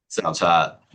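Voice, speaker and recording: A man interviewing a band in an easygoing, enthusiastic way. He laughs often and is generous with praise. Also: sharp tone. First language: English. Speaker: music interviewer. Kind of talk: casual interview.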